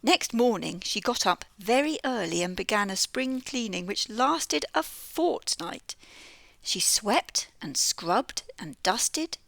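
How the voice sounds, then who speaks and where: A British woman reading a children's story aloud in an expressive, lilting way. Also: mid-range voice, takes it slowly, gravelly, dry recording. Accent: British English. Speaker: audiobook reader.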